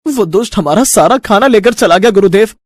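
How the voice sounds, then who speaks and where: A man's voice: high-pitched, animated, a little fast, dry recording, studio quality, pitched above the performer's own voice. A man voicing a young male disciple in a storybook tale, devoted, anxious and brave, with an eager, respectful, pleading manner. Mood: worried. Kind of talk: animated storybook character acting.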